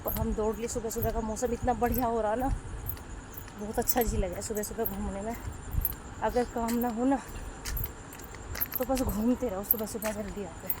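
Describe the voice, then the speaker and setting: A playful woman talking into a phone outdoors by fields, teasing and a little breathless. Her voice sits slightly high, with clear outdoor noise and passing vehicles around her. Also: steady tone, moderate pace, long pauses. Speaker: vlogger. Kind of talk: casual outdoor vlog talk.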